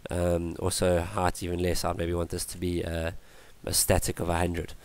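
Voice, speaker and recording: A man's voice: low-pitched, completely flat delivery, moderate pace, a little mellow. A man teaching a coding tutorial over a screencast, thinking aloud with fillers and self-corrections. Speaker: tutorial instructor.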